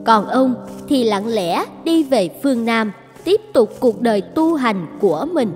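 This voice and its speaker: A woman's voice: on the high side, lively intonation, measured pace, a little mellow, faint music behind. A woman narrator reading a scripted story. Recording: long hall reverb.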